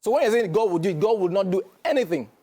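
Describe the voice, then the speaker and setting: Fast, emphatic Nigerian man. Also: sits high, expressive, warm tone. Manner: emphatic, lecturing, keeps asking "do you understand?". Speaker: guest commentator. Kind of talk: studio interview.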